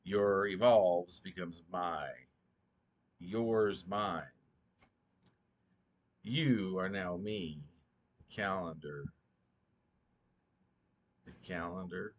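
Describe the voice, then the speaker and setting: Low-voiced man, drowsy halting poetry reading. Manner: deliberate, with stumbles and repeated phrases. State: drowsy, short on sleep. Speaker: poet reading own work.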